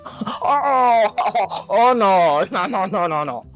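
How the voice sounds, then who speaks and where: A woman's voice: mid-range voice, expressive, slow, faint music behind, low-quality recording. A woman voicing a sly, cunning old male tortoise in a children's story, speaking in a teasing manner.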